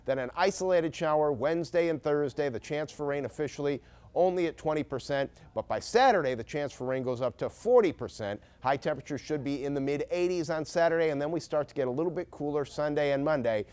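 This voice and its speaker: A man's voice: fairly high pitch, quick pace, a little mellow, smooth. A man presenting a TV weather forecast in a conversational, explanatory way, as if talking the viewer through it.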